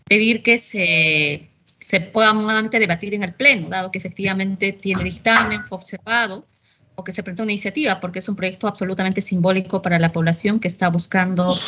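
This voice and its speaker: A woman's voice: lowish voice, fairly bright tone, dull audio. A woman, a member of congress, making earnest, supportive remarks in a committee session, with some hesitant fillers.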